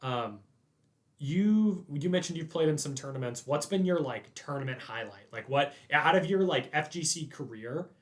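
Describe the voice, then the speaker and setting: A man's voice: fairly high pitch, expressive, moderate pace. A young man hosting a podcast, chatting informally with slang as he interviews a friend. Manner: informal, laid-back slang. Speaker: podcast host. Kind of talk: casual chat, interviewing a friend.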